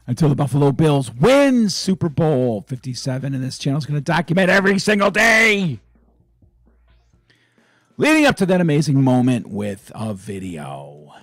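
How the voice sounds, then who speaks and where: Hoarse, gravelly-voiced man. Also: fairly high pitch, dry recording, studio quality. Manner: chatty, excitable fan talk. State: hoarse, raspy voice. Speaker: sports fan channel host. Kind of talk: casual fan vlog chat.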